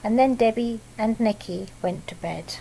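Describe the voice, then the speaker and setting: A woman's voice: on the high side, normal speed, creaky, dark tone, slight background noise, rough recording. A British woman reading a picture book aloud like a primary teacher, with simple child-directed asides and little tag questions. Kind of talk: children's storytelling with explanatory asides.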